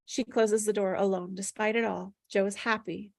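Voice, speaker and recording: A woman's voice: mid-range voice, steady tone, moderate pace, creaky, a little mellow, no echo, clean studio sound. A woman reading stage directions aloud at a table read, plainly descriptive, with occasional stumbles over the words.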